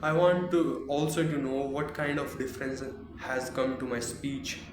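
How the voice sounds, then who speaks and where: A man's voice: mid-range voice, fairly even intonation, moderate pace. Hesitant Indian man from Haryana. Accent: Haryanvi Indian English. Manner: hesitant, searching for words. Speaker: English learner. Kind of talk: practice conversation. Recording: roomy room with noise.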